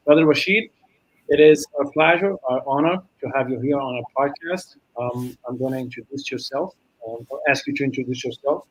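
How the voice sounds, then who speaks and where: A man's voice: mid-range voice, brisk, breathy edge, no room sound. A man with a Brazilian accent, a native Portuguese speaker, formally introducing a podcast as its host with careful, slightly hesitant phrasing. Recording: dull lo-fi call audio.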